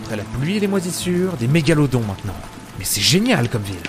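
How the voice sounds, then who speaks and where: A grumpy male adventurer voiced by an actor in an audio drama. He is sarcastic and world-weary, grumbling as if to himself in a mid-range voice. Music runs clearly behind him. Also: medium pitch, very animated, quick pace, close to an everyday voice. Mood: exasperated. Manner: grumbling, sardonic, muttering to himself. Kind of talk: French audio drama acting.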